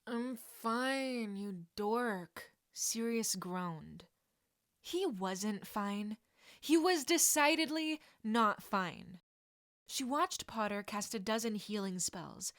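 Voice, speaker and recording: A woman's voice: medium pitch, no room sound, studio quality. A woman reading a scripted piece of fiction aloud as a narrator. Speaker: podfic narrator.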